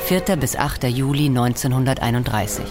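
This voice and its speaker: A man's voice: mid-range voice, expressive, fairly quick. A man announcing titles and credits for a radio drama in a scripted style. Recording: loud theme music behind.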